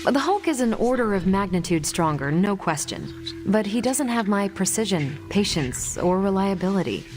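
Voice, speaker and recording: An actress playing a confident, easygoing American superhero in a video game, with a wry, casual manner. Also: female voice, mid-range voice, sing-song, moderate pace, music in the background, natural voice. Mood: proud, playful. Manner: wry, casual, self-assured. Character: confident, easygoing superhero woman, ex-Air Force pilot. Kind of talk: video game dialogue acting.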